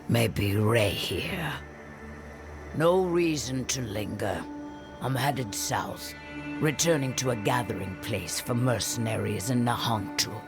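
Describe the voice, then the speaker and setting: Gruff fatalistic game mercenary, very slow, fry-laden delivery. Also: male voice, mid-range voice. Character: gruff, laconic male mercenary, weary fatalist. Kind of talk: video game dialogue acting.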